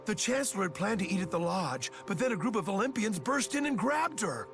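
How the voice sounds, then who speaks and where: A high, gravelly, animated male voice of an alarmed guard in a game cutscene. He reports urgently, with music clearly behind him. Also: brisk, full character voice. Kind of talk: video game cutscene acting.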